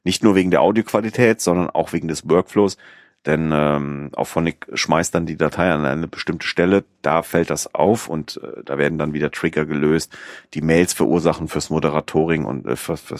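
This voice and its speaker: A man's voice: extremely low pitch, fairly even intonation, brisk, dry recording, studio quality. A man hosting a podcast in casual chat, rambling with many fillers and restarts. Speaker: podcast host.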